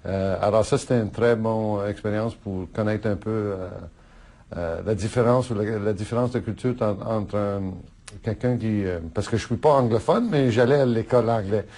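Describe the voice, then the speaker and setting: A middle-aged man whose first language is Italian, answering interview questions in a halting way with many fillers and restarts, laughing often. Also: on the low side, warm tone. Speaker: politician interviewee.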